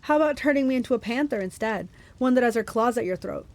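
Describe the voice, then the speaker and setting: A woman's voice: fairly high pitch, animated, fast talker, darkish tone, lightly acted. A woman voicing a fierce, determined teenage girl who speaks bluntly. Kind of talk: storybook reading.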